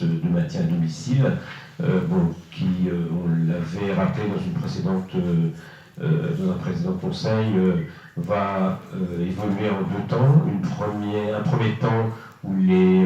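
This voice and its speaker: Low-voiced man in a very echoey room. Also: dark tone. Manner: halting, hesitant delivery with frequent long filler pauses. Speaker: local councillor presenting at a council meeting. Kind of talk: explanatory presentation at a municipal meeting. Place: reverberant indoor meeting room. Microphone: distant microphone. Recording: echoey, muffled room, distant mic.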